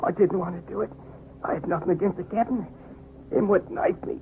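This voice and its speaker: A man acting a cowardly, desperate former pirate henchman, pleading and halting, in an old-time radio drama. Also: sits high, vocal fry. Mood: weak, frightened. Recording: muffled tinny vintage radio, hissy.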